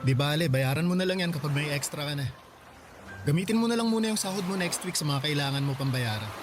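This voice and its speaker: A man's voice: a little high, lively intonation, fast talker, music in the background, lightly acted. An actor playing a kind, generous young male college student who speaks in an easygoing, caring way in a radio drama. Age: young adult.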